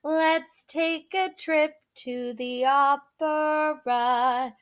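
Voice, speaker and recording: A young woman reading a children's picture book aloud in an animated, drawn-out, singsong way, like a teacher talking to small children. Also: sits high, faint music behind. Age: young adult. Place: small living room, seated in an armchair indoors.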